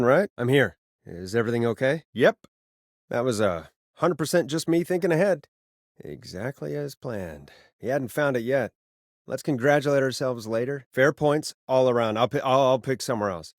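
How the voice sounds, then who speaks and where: An actor playing a wry, quick-witted late-night radio host in a video game, with sarcastic, hesitant asides. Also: male voice, mid-range voice, no echo, studio quality, close to an everyday voice.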